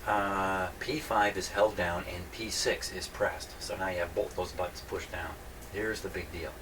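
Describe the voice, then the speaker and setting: A man's voice: deep voice, steady tone, a little slow, noisy background. An older man giving a casual workshop demonstration, thinking aloud in a rambling, self-deprecating, folksy way. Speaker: vintage radio repair hobbyist presenting a video. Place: indoor radio repair workshop.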